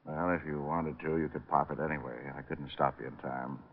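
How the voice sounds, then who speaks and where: An American actor playing a wry, watchful private detective who probes with casual small talk in vintage radio drama style. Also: male voice, very deep voice, steady tone, fairly quick. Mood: tense, quiet. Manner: hesitant, probing small talk. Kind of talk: 1940s-50s American radio drama acting. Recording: muffled, noisy old radio recording.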